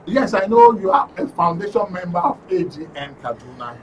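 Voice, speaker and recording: A Nigerian man hosting a live studio interview, speaking in a wordy, halting way with formal courtesy. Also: sits high, very animated. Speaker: talk show host. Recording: distant mic with faint noise.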